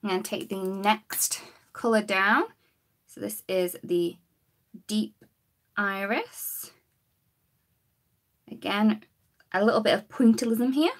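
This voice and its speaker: Slightly croaky woman demonstrating coloring. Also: medium pitch, slow, long pauses. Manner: chatty, talks while working, greets viewers. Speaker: craft demonstrator hosting a live stream.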